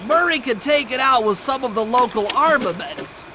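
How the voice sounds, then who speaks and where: A man's voice: very high-pitched, expressive, normal speed, noisy background. A man voicing a nerdy, cautious turtle mastermind in a cartoon game, with a nasal voice and precise, wordy, earnest briefings. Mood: analytical. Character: nerdy, cautious male turtle mastermind, planning heists over radio.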